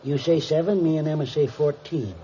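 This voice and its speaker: Folksy, chatty shopkeeper played by a man, higher-pitched. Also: fairly quick, dark tone, close to an everyday voice. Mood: eager, proud. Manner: folksy, garrulous, eager. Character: folksy, chatty, henpecked small-town-style shopkeeper husband. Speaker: crime victim reporting stolen checks. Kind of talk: 1950s radio drama acting. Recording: noisy, dull vintage 1950s radio recording.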